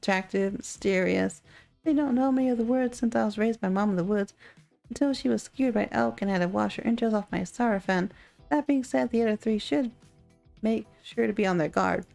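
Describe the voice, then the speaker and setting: A woman's voice: mid-range voice, brisk, creaky, darkish tone, faint music behind, close to an everyday voice, rough recording. A woman voicing a guarded, boastful huntress from a dating sim game, blunt and proud. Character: guarded, boastful wild huntress raised in the woods.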